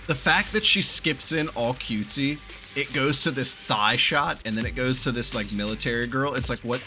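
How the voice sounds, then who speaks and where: A man giving casual, excitable reaction commentary while playing a video game, swearing freely. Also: mid-range voice, moderate pace. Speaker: game streamer reacting to a first playthrough. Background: faint game music.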